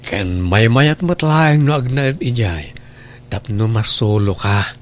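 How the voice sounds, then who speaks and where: A man's voice: medium pitch, expressive, slow, slight background noise. A man playing a selfish, work-shy boyfriend in a radio drama, wheedling and complaining.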